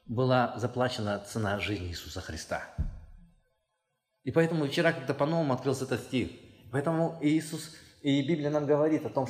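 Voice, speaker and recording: Man, pastor, sermon, earnest, emphatic, mid pitch, echoing hall. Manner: earnest, emphatic, rises in pitch and force when stressing a point. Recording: long hall reverb.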